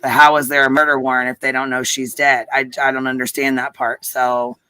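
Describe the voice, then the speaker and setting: A man's voice: medium pitch, little pitch movement, fairly quick, bright, forceful tone, clear, resonant tone, no room sound. A man hosting a true crime livestream, casually recapping news in an informal, questioning way.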